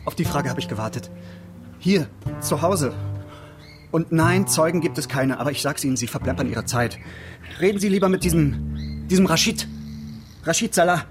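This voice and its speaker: Defensive, animated busybody man, played by an actor. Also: a little high, normal speed, pauses often, music in the background, natural voice. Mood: irritated, insistent. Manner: insistent, self-justifying. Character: defensive, self-important busybody man, eager to incriminate others. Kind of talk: naturalistic German radio crime drama acting.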